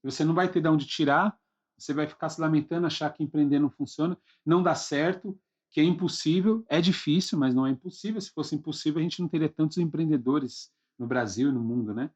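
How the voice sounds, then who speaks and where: A man with a husky voice and a Brazilian Portuguese accent, hosting a podcast in a casual, encouraging way. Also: on the high side, quick pace. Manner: encouraging, informal. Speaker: podcast host. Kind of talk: casual conversational interview. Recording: dull lo-fi sound, faint music.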